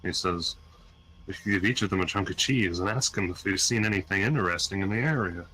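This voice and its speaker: A man's voice: sits low, fairly quick, sharp tone, slightly rough. A man playing a tabletop game, chatting casually with the group. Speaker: player.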